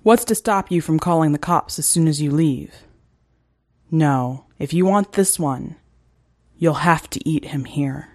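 A big, predatory wolf as played by a woman reading a story speaks in a low, guttural voice. He is slow and coldly commanding, every line a controlled threat. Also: a little mellow, no room sound, studio quality. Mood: cold, demanding. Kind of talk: dramatic short story reading.

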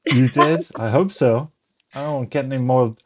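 A man's voice: medium pitch, moderate pace, darkish tone, slight background noise. A Welsh man co-hosting a podcast in casual chat, joking and teasing his co-host. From Wales. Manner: joking, teasing, calls people mate.

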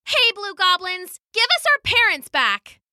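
A bold little girl from a storybook, played by a woman, speaks up in a high, bright voice. She is indignant and insistent, pushing each phrase out firmly. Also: sing-song, measured pace, no room sound, clean studio sound, exaggerated voice. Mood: defiant, indignant. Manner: emphatic, insistent. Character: young girl Sasha, bold, defiant and indignant. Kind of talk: storybook character voice.

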